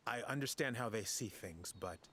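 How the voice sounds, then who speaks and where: A man's voice: on the low side, lively intonation, moderate pace, sharp tone, a little hiss or hum. A man playing an earnest, reasonable and direct council member in a fantasy video game. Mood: regretful, reasoning.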